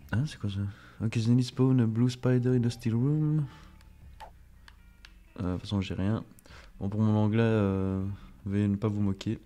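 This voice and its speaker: A man's voice: on the low side, mellow. A French-speaking man casually commenting on his own gameplay, chatty and self-mocking, swearing under his breath. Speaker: gaming streamer. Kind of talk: casual let's play commentary.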